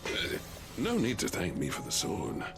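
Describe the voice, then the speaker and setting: A man's voice: low voice, wildly swooping pitch, normal speed, creaky, over loud music, exaggerated voice. A man voicing a big-hearted, boisterous craftsman in a video game, jovial and earthy with theatrical flourishes. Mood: warm, gruff.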